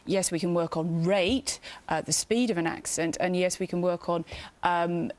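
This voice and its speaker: A woman's voice: fairly low pitch, steady tone, darkish tone. Woman vocal coach in a studio. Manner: measured, with frequent restarts and fillers. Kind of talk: live studio interview.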